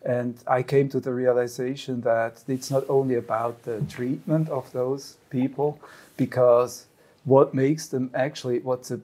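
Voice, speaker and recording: A man's voice: medium pitch, level tone, unhurried, mellow, low-quality recording. A middle-aged male psychiatrist answering as a guest on an expert panel, earnest and reflective, with his phrases often trailing off.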